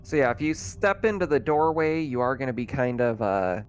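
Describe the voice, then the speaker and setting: Casual male game master, colloquial, mid-pitched, narrating and refereeing online tabletop play. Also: lively intonation, normal speed, a little hiss or hum.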